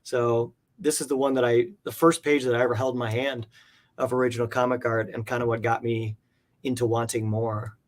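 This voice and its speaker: Earnest, halting man in casual interview. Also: mid-range voice, little pitch movement. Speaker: guest, comic art collector.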